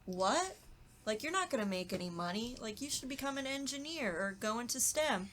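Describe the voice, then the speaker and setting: A woman's voice: fairly high pitch, animated, normal speed, a bit bright. A woman who performs, chatting casually on a podcast talkback. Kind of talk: casual chat. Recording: faint background noise.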